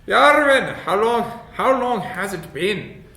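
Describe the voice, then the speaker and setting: Man playing hesitant, polite dwarf, bright voice. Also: sits high, sing-song, slow, pitched above the performer's own voice, exaggerated voice. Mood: surprised, cheerful. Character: awkward, polite dwarf inventor, grieving his father. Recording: roomy echo, clear background noise.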